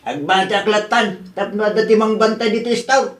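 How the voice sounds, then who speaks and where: A woman's voice: medium pitch, brisk, lightly acted. A woman with an Ilocano Filipino accent playing a lazy, teasing spouse in a radio comedy drama, drawling and offhand. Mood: stubborn.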